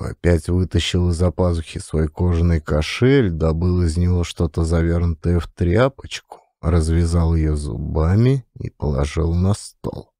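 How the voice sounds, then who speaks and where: A man narrates a literary tale as a dramatic audiobook. His voice is low and extremely mellow and dark, recorded very dry. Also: dry recording.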